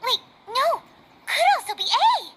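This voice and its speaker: A woman voicing a small, bubbly, outspoken fairy-like game companion with a squeaky, childlike voice. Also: unhurried, full character voice. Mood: surprised, excited. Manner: excitable, informal, blunt. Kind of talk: video game voice acting.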